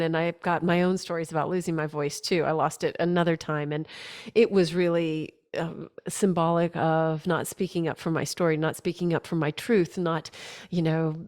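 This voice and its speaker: A woman's voice: low voice, a little mellow. A woman hosting a podcast interview in a chatty, conversational way, often tagging her sentences with "you know" and "right?". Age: adult parent.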